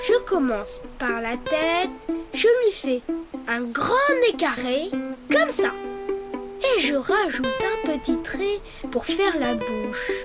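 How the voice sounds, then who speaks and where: Helpful little cartoon child, very high, unhurried, instructing step by step, music behind. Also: female voice, heavily acted. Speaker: host of drawing tutorial. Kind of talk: children's educational cartoon acting.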